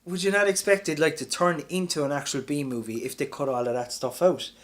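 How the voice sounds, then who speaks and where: A man's voice: on the high side, fairly even intonation, fairly quick, a little hiss or hum. A man co-hosting a podcast, chatting about films in a colloquial, chummy, sweary way. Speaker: podcast co-host. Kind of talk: casual film chat among friends.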